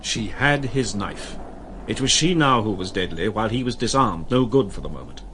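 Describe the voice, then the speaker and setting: A man reads literary narration in a low voice. His delivery is solemn, measured and dramatic, with faint noise underneath. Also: animated, a bit bright.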